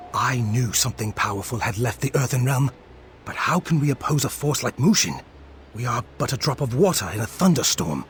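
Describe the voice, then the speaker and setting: An actor playing a learned, courteous mystic ally in a fantasy game, with a formal, bookish way of speaking. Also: male voice, mid-range voice, a little hiss or hum, close to an everyday voice. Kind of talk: scripted fantasy game dialogue.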